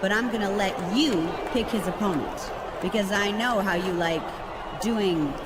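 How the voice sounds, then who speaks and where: An actress playing a haughty, commanding company executive taking charge. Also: female voice, mid-range voice, unhurried, over a noisy crowd, heavy background noise, close to an everyday voice.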